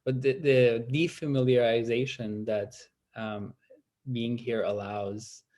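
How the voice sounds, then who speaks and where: A man from Iran, a native Persian speaker, interviewing in an academic conversation with halting, tentative phrasing as he searches for words. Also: medium pitch, little pitch movement, a little mellow.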